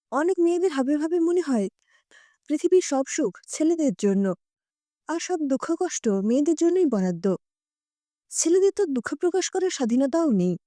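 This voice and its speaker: Woman reading an audio story, high mellow voice, really dry close sound, emotive delivery. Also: no room sound. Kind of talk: expressive first-person storytelling narration.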